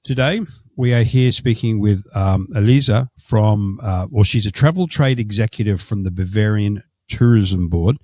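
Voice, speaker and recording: A man's voice: fairly low pitch, normal speed, darkish tone, dry recording. A man hosting a travel podcast, asking chatty questions and often correcting himself as he goes. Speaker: podcast host. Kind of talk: casual interview.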